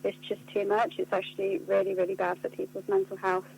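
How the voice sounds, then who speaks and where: A woman answers interview questions in an earnest, articulate way. Her pitch stays fairly flat as she explains. There is a faint hum and noise on the line. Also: a little fast, gravelly, rough recording. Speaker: charity communications officer being interviewed. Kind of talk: spoken radio interview answers.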